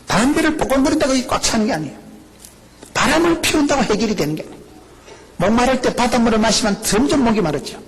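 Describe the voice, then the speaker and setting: A middle-aged male pastor with a Gyeongsang accent, preaching a lively, joking sermon full of rhetorical questions. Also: very high voice, poor audio. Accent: Gyeongsang Korean. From rural South Korea. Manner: animated, jokey preaching with rhetorical questions and laughs.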